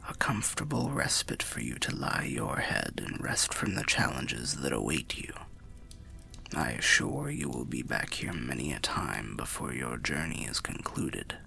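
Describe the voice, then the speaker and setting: A man voicing a weary, kindly old undead warrior who rambles gently in a breathy, gravelly half-whisper, like a fantasy ASMR storyteller. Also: a bass voice, very animated, exaggerated voice. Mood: welcoming, reflective. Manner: slow, rambling, archaic, gently cautionary storyteller. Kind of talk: ASMR fantasy roleplay monologue.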